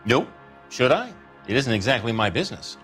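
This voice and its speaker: A man's voice: mid-range voice, very animated, a little fast, over music, lightly acted. An actor playing a folksy, wisecracking used car salesman in a 1940s American crime game, with drawling showman's patter. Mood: defensive, folksy. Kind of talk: video game cutscene acting, 1940s American setting.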